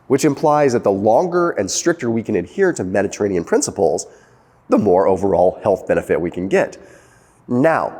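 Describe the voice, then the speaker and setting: Host, male, very low voice, quick conversational explainer, reverby room. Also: darkish tone. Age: young adult. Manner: fast, conversational, emphatic explaining with fillers like "okay". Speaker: health channel host. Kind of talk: casual explainer monologue.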